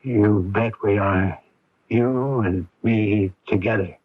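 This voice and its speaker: Slow, husky devoted father, radio drama. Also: lowish voice, no room sound. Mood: earnest, devoted. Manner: halting, earnest, plain-spoken. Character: devoted, anxious, simple-minded father of ailing daughter. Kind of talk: 1980s radio drama acting with first-person narration. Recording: dull lo-fi AM radio recording.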